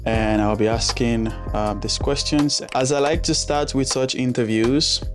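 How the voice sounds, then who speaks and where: A man hosting a relaxed, casual interview, asking questions and restating the answers back to his guest. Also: mid-range voice, measured pace, music in the background. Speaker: interviewer, channel host.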